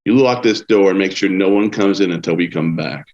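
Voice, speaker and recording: A man reading a deep, steady Texas Ranger. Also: a little fast. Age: 50s. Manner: calm, low, reassuring. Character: fifties Texas Ranger stepfather, steady and tough. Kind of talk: screenplay table read. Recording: dull, lo-fi sound.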